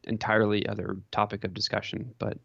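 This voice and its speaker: A man with a fairly low voice on a slightly dull, lo-fi recording with little room sound. He chats informally, like a podcast co-host talking sports and collecting. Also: completely flat delivery, fast, vocal fry, fairly bright tone, no echo. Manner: informal, conversational. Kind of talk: casual sports and hobby chat.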